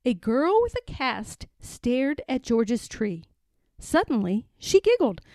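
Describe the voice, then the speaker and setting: Slow expressive woman reading a storybook. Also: fairly high pitch, dry recording, studio quality. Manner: expressive, slow, sing-song. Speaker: children's book reader. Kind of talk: storybook read-aloud.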